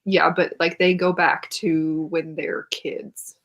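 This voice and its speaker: Husky-voiced woman in casual book chat. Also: on the low side, level tone, slow pace. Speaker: book show co-host.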